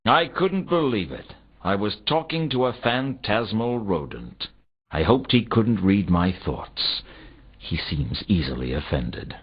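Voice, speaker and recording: A dull, lo-fi game recording of a world-weary male detective. His low voice swings widely in pitch as he delivers sardonic, deadpan noir lines. He is a hardboiled investigator from a fantasy adventure game. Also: unhurried, slightly rough, heavily acted. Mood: wry narration. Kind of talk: video game dialogue and noir narration. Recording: dull lo-fi game audio, faint noise.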